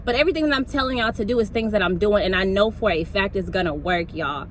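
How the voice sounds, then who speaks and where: A woman's voice: mid-range voice, fast talker, a little hiss or hum. A woman vlogging a motivational talk on her phone, casual and preachy, full of "like" and "y'all", with features. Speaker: wellness vlogger.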